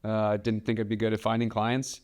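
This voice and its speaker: Smooth-voiced thoughtful man, late thirties. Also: on the low side, monotone, darkish tone. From Washington DC area, US. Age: late 30s. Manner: measured, thoughtful, with frequent ums. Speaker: guest, real estate broker. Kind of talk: casual podcast interview.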